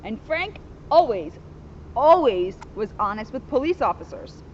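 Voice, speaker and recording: A woman's voice: a little high, very animated, measured pace. A woman police officer reading a children's book aloud outdoors, expressively, with chatty asides. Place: outdoors in a sunny spot by a school. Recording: dull, faintly noisy outdoor recording.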